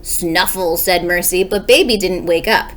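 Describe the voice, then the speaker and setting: A woman's voice: medium pitch, big pitch swings, normal speed, a bit bright. A woman, a second grade teacher, reading a storybook aloud expressively and explaining it for young listeners. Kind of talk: expressive children's read-aloud.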